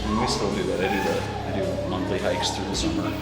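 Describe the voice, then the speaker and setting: Deep-voiced man in an echoey room, music behind. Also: flat delivery, quick pace, far from the mic. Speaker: park naturalist being interviewed. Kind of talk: casual explanatory chat.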